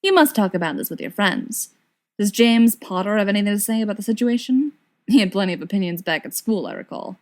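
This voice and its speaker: A woman's voice: mid-range voice, animated, brisk, a little mellow, close to an everyday voice. A woman voicing a young male wizard extremist in an audiobook, earnest and persuasive with a bitter edge. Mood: rueful, probing.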